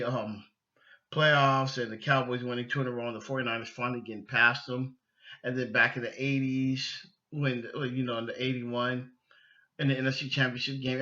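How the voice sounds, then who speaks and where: A middle-aged man hosting a sports podcast solo, giving opinions emphatically and haltingly, repeating phrases as he goes. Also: medium pitch, pushed and bright, highs cut off.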